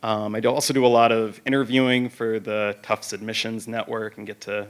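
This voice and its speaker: A man's voice: lowish voice, a bit bright. A man in his thirties, an alumnus in the audience asking a question, friendly and filler-heavy.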